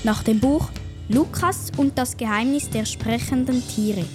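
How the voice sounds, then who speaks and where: A woman's voice: fairly high pitch, unhurried, music in the background. A woman reading scripted narration for a children's radio play.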